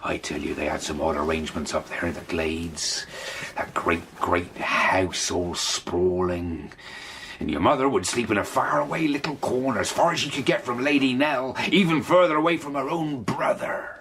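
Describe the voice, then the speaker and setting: A man's voice: lowish voice, normal speed, creaky, background noise. An actor playing a volatile, rambling father talking to his young son at bedtime in a radio drama. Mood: wistful, bitter. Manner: rambling, murmuring, turning sharp.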